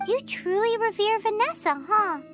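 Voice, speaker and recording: Tiny fairy sidekick, very high, chirpy, lively pitch, music clearly under her. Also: normal speed. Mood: curious. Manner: chirpy, speaks of herself in third person. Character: tiny chirpy female fairy companion, curious. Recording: game audio with clear music.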